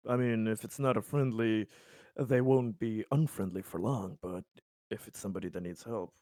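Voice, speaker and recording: A man with a low voice talks casually as a player at a roleplaying game. His voice is recorded very dry, with no room sound around it. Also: moderate pace, a little mellow, no room sound. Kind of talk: casual game table chat.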